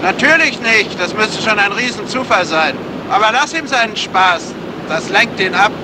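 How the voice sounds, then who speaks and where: Calm high-voiced man over loud noise. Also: normal speed. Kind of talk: 1970s German radio crime play acting. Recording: loud rumbling motorboat noise.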